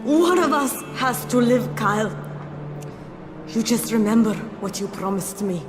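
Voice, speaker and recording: A woman's voice: on the high side, expressive, measured pace, over music. An actress playing a determined young woman, a proud and self-sacrificing fighter, speaking solemnly in a video game. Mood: urgent, earnest. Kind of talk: cinematic video game acting. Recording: loud film score behind the voice.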